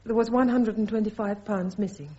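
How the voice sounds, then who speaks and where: An actress playing a loyal, forthright British army captain, speaking in a clipped, earnest way in a radio drama. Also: female voice, mid-range voice, steady tone, a little fast, dark tone, close to an everyday voice. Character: loyal, forthright young female army captain giving testimony. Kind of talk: radio courtroom drama acting. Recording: slightly dull radio drama recording.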